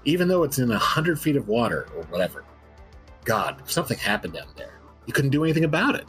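A man's voice: mid-range voice, very animated, fast talker, fairly bright tone, faint music behind. A man playing an unnerved, obsessed interview subject in a dramatic reading, anxious and emphatic. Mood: overwhelmed unease.

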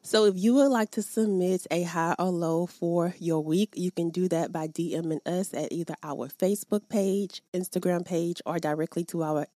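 A woman's voice: lowish voice, steady tone, moderate pace, hardly any pauses, mellow, faint music behind. Upbeat woman hosting a faith podcast. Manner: upbeat, addresses listeners directly, prays aloud. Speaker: podcast host. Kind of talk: faith podcast chat and prayer. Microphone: podcast microphone.

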